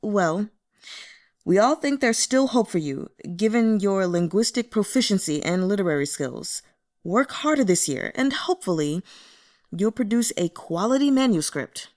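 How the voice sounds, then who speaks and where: A woman voicing a curt, listless male book editor in an audiobook, measured and critical. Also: high-pitched, lively intonation. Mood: measured, encouraging. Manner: measured, dismissive. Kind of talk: audiobook character dialogue.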